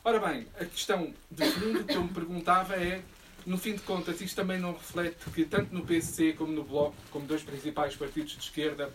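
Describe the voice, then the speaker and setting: A man speaking spontaneously about politics at a public discussion, in formal wording with hesitant, halting phrasing. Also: on the high side, steady tone, a bit bright. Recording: distant room microphone, faint background noise.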